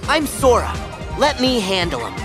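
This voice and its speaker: Eager, lively teenage boy hero. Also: very high-pitched, moderate pace, music in the background. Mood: puzzled, keen. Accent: American. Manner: earnest, youthful. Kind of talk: animated game character acting.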